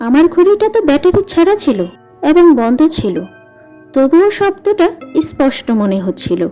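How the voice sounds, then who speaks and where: A woman tells a scripted horror story in a high voice with very lively intonation. Her delivery is dramatic and suspenseful, and music plays behind her. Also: normal speed. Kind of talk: scripted first-person horror narration. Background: background music.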